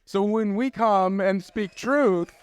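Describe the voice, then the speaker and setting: High-voiced man ranting with profanity. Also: slow pace, clear, resonant tone, no room sound, some chatter behind. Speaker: panelist. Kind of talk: conference panel talk. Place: conference stage.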